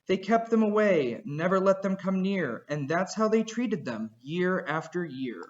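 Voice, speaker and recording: Lively man reading a rhyming picture book. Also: high-pitched, a little slow, dull audio. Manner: expressive, rhythmic rhyming read-aloud. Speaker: storyteller.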